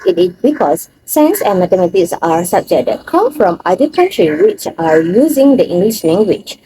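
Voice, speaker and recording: A woman's voice: lowish voice, very animated, moderate pace, warm tone, slight background noise, rough recording. A young woman, a university student reading her part of a class presentation. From Malaysia. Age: young adult.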